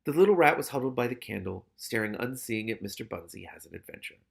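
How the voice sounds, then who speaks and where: A man's voice: medium pitch, animated, fast talker, gravelly, breathy edge. A man narrating a story expressively as a reader and adding his own candid commentary.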